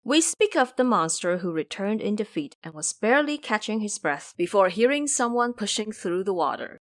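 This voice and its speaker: A woman's voice: medium pitch, animated, moderate pace. A woman giving scripted narration as the storyteller of an audio drama.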